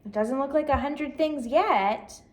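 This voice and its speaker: A woman with a fairly high voice reads a children's storybook aloud. She narrates expressively, as a storyteller who draws young listeners in with questions. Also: a little slow, darkish tone, slight background noise. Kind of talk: children's picture book read-aloud.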